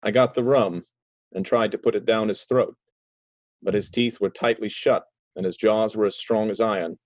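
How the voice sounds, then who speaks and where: A man narrates a story in a low voice with an even, measured delivery. The sound is dry and close. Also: no room sound.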